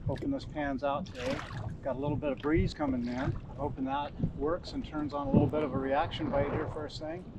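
A middle-aged man filming himself fishing from a kayak, chatting in a low-key way to the camera between catches. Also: very animated, brisk, vocal fry. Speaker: kayak angler. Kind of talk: casual self-filmed commentary. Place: outdoors on a kayak on a lake. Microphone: action camera mounted on the boat. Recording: outdoor wind and water noise.

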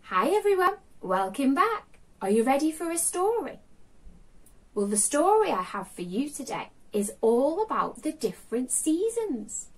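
Unhurried woman telling stories to children. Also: sits high, dry recording. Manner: expressive, sing-song, addressing children directly. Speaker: children's storytime host. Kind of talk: storytelling for young children. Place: small furnished room at home, indoors.

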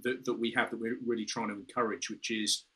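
A man's voice: fairly low pitch, little pitch movement, fast talker, bright, forceful tone. A British man in his fifties on a video call, explaining at length as an interview guest, with frequent restarts and "you know". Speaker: guest, former fighter pilot. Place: room with a window and red curtains, indoors.

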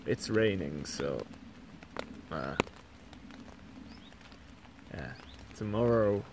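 A young man with a Belgian accent recapping his hike in a casual, low-key, halting way, talking to a handheld camera inside a small tent. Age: young adult. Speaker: hiker vlogger. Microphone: camera or phone at arm's length. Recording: clearly noisy small-camera recording.

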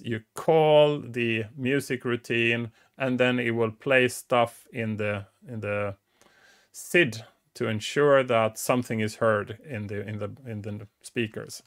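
A middle-aged man, a native Swedish speaker, explains coding topics casually as a show host. His gravelly voice sits at an ordinary pitch. He talks with enthusiasm and stumbles through many restarts and fillers, close on a headset mic in a small room. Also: a bit bright. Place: small dim room indoors.

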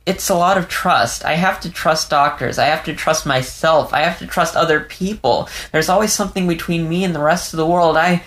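A man's voice: fairly high pitch, a little fast, few pauses, fairly bright tone, pitched above the performer's own voice, lightly acted. A man voicing an emotional young adult male fox who talks in a shaky, whimpering way. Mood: earnest.

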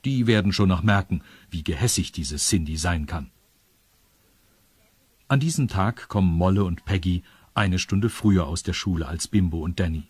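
A man's voice: low voice, normal speed, husky, no room sound. A man with a standard German accent narrating a scripted children's audio story.

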